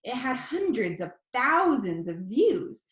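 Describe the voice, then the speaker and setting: Expressive woman reading, lively pitch. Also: slow, roomy sound. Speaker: audiobook reader. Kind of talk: first-person novel narration read aloud.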